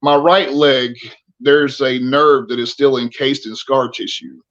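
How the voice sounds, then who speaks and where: A man's voice: mid-range voice, steady tone, a little slow, fairly bright tone, highs cut off. A man in his forties, an army veteran guest on a podcast, talking candidly and earnestly with many restarts. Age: 40s.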